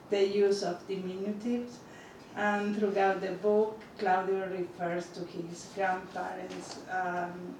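A woman presenting a book with formal, measured prepared remarks. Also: mid-range voice. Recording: echoey room from a distant mic, noisy.

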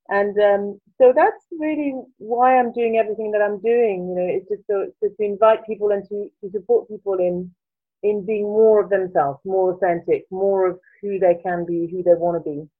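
A woman's voice: mid-range voice, steady tone, moderate pace. A French woman in her forties with a French accent, answering interview questions thoughtfully and searching for words as she goes. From France. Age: 40s. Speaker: guest, coach. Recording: tinny phone-like line.

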